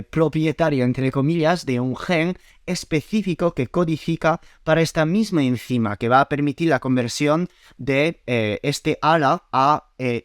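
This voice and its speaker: A man's voice: mid-range voice, no echo, studio quality. A man from France with a French accent, explaining nutrition science to camera in a friendly, step-by-step way with small fillers. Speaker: nutrition YouTuber.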